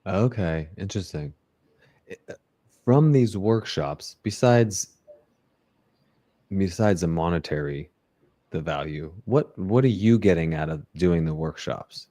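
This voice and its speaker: A man's voice: deep voice, animated, unhurried, pauses often, mellow. A man hosting an interview, asking questions and dropping short supportive replies. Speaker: podcast host. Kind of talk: casual interview talk.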